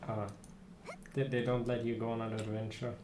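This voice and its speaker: A man's voice: mid-range voice, little pitch movement, moderate pace. A man giving casual live gameplay commentary as a streamer, musing half to himself. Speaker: game streamer. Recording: roomy echo, clear background noise with keyboard clicks.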